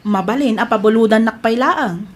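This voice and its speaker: A woman's voice: fairly high pitch, normal speed, close to an everyday voice. A woman acting a dutiful, hardworking married elder sister in an Ilocano audio drama. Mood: earnest.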